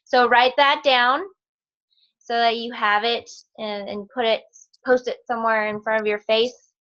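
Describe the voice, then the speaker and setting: Woman host for a group video call; unhurried, encouraging, muffled call audio. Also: on the high side, steady tone, fairly bright tone. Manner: encouraging, calls on each person in turn.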